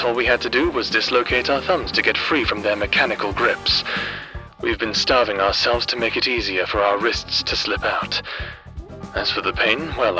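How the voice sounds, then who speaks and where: A man playing a weary, calculating prison escapee, narrating his thoughts gravely as an audio-drama inner monologue, with music underneath. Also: fairly low pitch, close to an everyday voice, low-quality recording.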